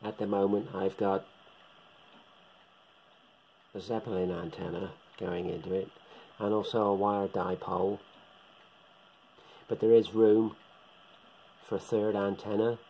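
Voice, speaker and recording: Guy with a gravelly mellow voice showing his radio gear, unhurried, bit muffled lo-fi sound. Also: low-pitched, flat delivery, slight background noise. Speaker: shortwave radio hobbyist presenter. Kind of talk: casual hands-on equipment demonstration. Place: indoors, room with radio gear on a shelf.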